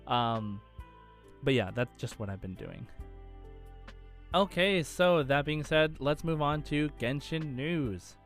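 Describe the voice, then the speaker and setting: A man's voice: fairly high pitch, lively intonation, slow pace, pauses often. Chatty man podcasting over background music. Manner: rambling, informal, frequent "like". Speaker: podcast co-host. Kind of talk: casual chat about video game news.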